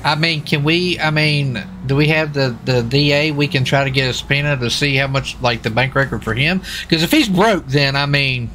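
Bright-voiced man in casual game roleplay. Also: a little high, normal speed, hardly any pauses, slight background noise. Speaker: streamer playing a US marshal in GTA roleplay.

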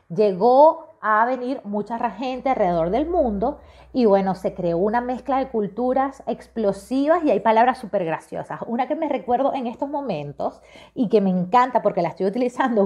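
A woman in her thirties with a Venezuelan accent from Maracaibo, talking casually and with amusement into a camera at home through a wired earphone mic. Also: a little high. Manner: lively, amused storytelling. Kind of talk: casual video message. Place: indoors at home. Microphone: wired earphone mic, front camera. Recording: dull lo-fi sound.

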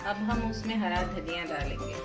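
A woman hosting a home cooking channel, calmly walking through each step of a recipe, pointing things out as she goes. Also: on the low side, normal speed, over loud music. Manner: patient, explanatory, repeats steps with "dekhiye". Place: home kitchen, cooking at the stove.

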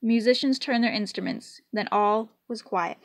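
High-voiced woman reading a picture book. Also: normal speed, no room sound. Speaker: storybook reader. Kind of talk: expressive children's picture-book read-aloud. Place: indoors in a room at home.

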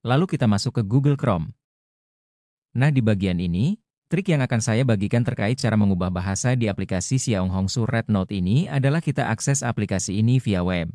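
A man's voice: fairly low pitch, expressive, fairly quick, mellow, dry recording, studio quality. A man narrating an instructional screen-recording tutorial in a methodical, step-by-step manner. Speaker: tutorial host.

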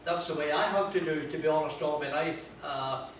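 An older Northern Irish man tells a rambling, self-deprecating story to a seated audience, with his voice a touch high for a man. He is picked up from some distance off, and a big echoey room rings around him. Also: fairly bright tone, slight background noise. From Northern Ireland. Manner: chatty, self-deprecating, frequent "uh" pauses and laughs. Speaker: motivational speaker. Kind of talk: anecdotal motivational talk. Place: large wood-panelled function room, audience present. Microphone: handheld mic.

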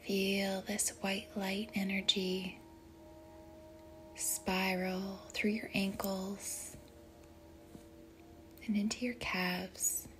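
A woman leading a guided meditation in a soft, breathy, whispery voice, speaking gently and deliberately with long pauses between short phrases. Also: medium pitch, level tone. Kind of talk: guided meditation, slow and soothing narration.

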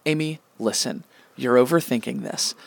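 Radio comedy: male deity, bossy and childish, mid-pitched, indignant man acting, recorded dry. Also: normal speed, creaky, no echo, lightly acted. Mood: dismissive. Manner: indignant, peremptory. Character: petulant, bossy star god with childish gamer obsession.

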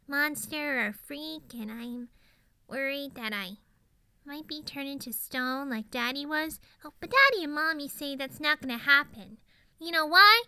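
A woman's voice: sits high, bright, forceful tone, no room sound, heavily acted. A woman voicing a zany, boastful ten-year-old girl pony in a cartoon monologue, chatty and rambling with melodramatic emphasis. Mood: sad, worried. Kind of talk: improvised cartoon character monologue.

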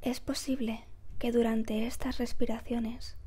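Soft whispery woman doing guided meditation, super slow and even, calm. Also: fairly high pitch, breathy edge. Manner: calm, gentle, measured with long pauses.

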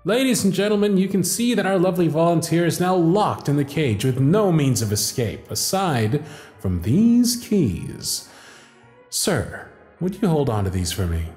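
A man's voice: a little high, big pitch swings, unhurried, a little mellow, reverberant, soft background music. A man playing a confident, flamboyant stage magician in a scripted ASMR audio roleplay, with grand, playful showman patter. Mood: grand, showy.

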